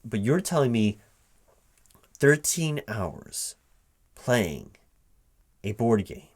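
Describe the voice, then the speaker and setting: A man acting as a baffled corporate recruiting manager in an ASMR interview roleplay. His voice is mid-pitched and slow, spoken aloud with halting repeats, leaning on words in polite disbelief. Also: sing-song, exaggerated voice. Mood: incredulous. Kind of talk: one-sided ASMR roleplay, softly paced but spoken aloud, improvised.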